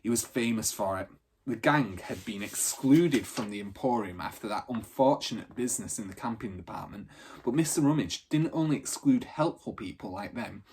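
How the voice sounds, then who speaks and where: A man narrating a children's storybook aloud in an expressive, teacherly reading style. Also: medium pitch, slight background noise.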